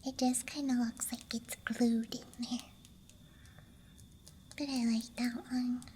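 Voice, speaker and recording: A young woman doing unscripted ASMR in a soft, breathy whisper, with a gentle, playful, unhurried manner. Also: on the high side, slight background noise. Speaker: ASMR streamer. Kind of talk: ASMR, unscripted show-and-tell. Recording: faint steady outdoor night ambience. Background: night campground ambience track.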